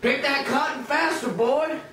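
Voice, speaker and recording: A man acts out a cruel, domineering slave master for a puppet show. The voice is pushed high and barks with contempt, over a noisy, echoey amateur recording. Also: measured pace, bright, forceful tone, distant mic, full character voice. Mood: bullying. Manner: barking, contemptuous orders.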